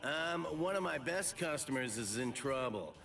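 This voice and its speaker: An actor voicing a sly, affable and mysterious shopkeeper in an anime game, teasing and casually polite. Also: male voice, medium pitch, animated, normal speed, sharp tone, distant mic, soft background music. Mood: sly, helpful. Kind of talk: English-dubbed anime game voice acting.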